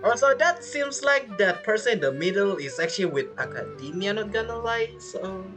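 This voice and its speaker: A woman's voice: medium pitch, lively intonation, moderate pace, music in the background. A woman giving chatty, casual commentary as she plays through a game, reacting aloud. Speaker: gamer commenting on gameplay.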